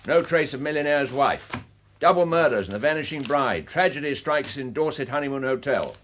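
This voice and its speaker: An actor playing a gruff, irascible older police chief in a radio drama, blustering and brusque. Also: male voice, medium pitch, expressive, fairly quick, fairly bright tone, slight background noise, natural voice. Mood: blustering, exasperated.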